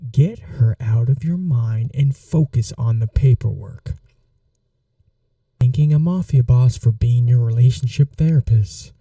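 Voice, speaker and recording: Man acting a dark-voiced, unhurried mafia boss. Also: medium pitch, no room sound, distant mic. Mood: firm, protective. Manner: unhurried, reassuring, teasing. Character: calm, flirty, protective male mafia boss. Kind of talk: soft-spoken ASMR roleplay acting.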